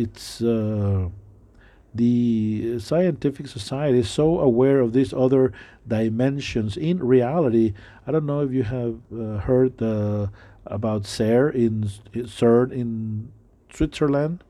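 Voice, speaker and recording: Halting preacher with a mellow voice. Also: male voice, medium pitch. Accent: non-native English speaker. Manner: halting, many fillers and restarts. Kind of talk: sermon.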